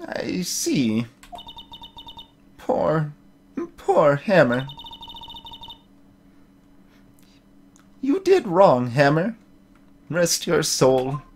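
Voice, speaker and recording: A man's voice: a little high, very animated, drawn-out words, pauses often, a little hiss or hum, full character voice. A man voicing a cranky, indignant old woman security guard in a video game, scolding and sputtering melodramatically. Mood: mournful. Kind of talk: dramatic video game dub-along.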